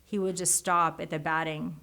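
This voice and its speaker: A woman with a low, gravelly voice and Japanese as her first language, chatting as a guest on an interview show. She talks in an animated way, stumbling and restarting her sentences as she thinks aloud. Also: moderate pace. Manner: animated, many restarts and self-corrections. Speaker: guest, author. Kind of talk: casual interview conversation.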